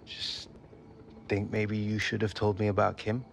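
An actor playing a modest, guarded young British footballer in a naturalistic video game drama. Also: male voice, on the low side, completely flat delivery, measured pace, vocal fry, a little hiss or hum. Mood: subdued, hurt. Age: young adult.

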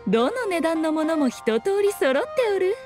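High, lilting, haughty fox spirit. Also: female voice, sing-song, moderate pace, over music. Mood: inviting. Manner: archaic noble speech, teasing, imperious. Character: sly, haughty fox-spirit shrine maiden, elegant and teasing. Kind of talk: Japanese anime game voice acting.

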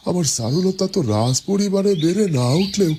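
A man's voice: high voice, expressive, a little fast. Man playing a puzzled father amid birdsong. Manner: hesitant, musing aloud. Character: puzzled, simple father, kindly and muttering to himself. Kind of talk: cartoon fairy-tale voice acting. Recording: clear background noise with birdsong.

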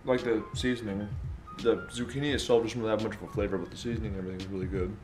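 A man in his thirties giving relaxed, halting first impressions of a meal in a home kitchen, recorded on a phone. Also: fairly low pitch, faint music behind. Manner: relaxed, hesitant, speaks with restarts. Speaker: taste tester. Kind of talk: casual chat. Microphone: phone camera across the counter.

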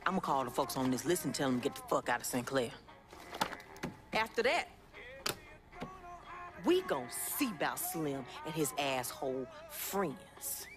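A woman's voice: extremely low pitch, very animated, unhurried, pauses often, music in the background, heavily acted. An actress playing a tough, defiant young revolutionary woman with a Southern US accent, blunt and streetwise, in a video game. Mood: determined. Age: young adult. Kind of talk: video game cutscene acting.

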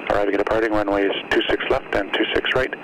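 Clear radio noise sits over a male announcer reading airport information. His voice is lowish and monotone, and he lists data quickly. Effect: aviation radio. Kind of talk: recorded airport information broadcast. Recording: noisy aviation radio broadcast.

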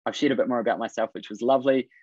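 A man's voice: mid-range voice, steady tone, very fast, darkish tone, slightly rough, dry recording. Upbeat Kiwi man in his thirties, coaching host on a dull, dry video call. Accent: New Zealand. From New Zealand. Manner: upbeat and encouraging, many fillers and restarts. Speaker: business coach, webinar host. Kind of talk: casual webinar presentation. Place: indoor room on video call.

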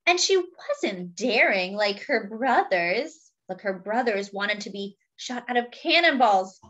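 A woman reading a picture book aloud to young children and commenting on the pictures, expressively and with pauses for emphasis. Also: medium pitch, expressive, fairly bright tone, muffled recording. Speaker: children's storybook reader.

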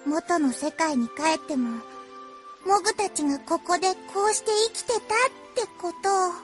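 A woman voicing a small, cheerful, loyal moogle creature in a Japanese audio drama, squeaky and childlike, with a cute verbal tic ending sentences. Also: expressive, moderate pace, faint music behind. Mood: earnest, encouraging.

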